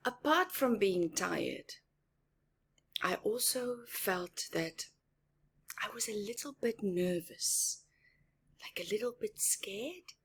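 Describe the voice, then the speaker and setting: A woman reads to young children at a very unhurried pace. Her voice is husky and breathy, and she stresses words deliberately. She chats to her little listeners with simple explanations between the lines of the picture book. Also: mid-range voice. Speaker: storytime reader and teacher. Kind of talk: children's picture-book read-aloud with chatty asides.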